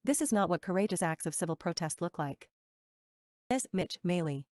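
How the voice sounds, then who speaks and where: A woman's voice: fairly low pitch, animated, normal speed, noisy background. A woman delivering a scripted news commentary as narration.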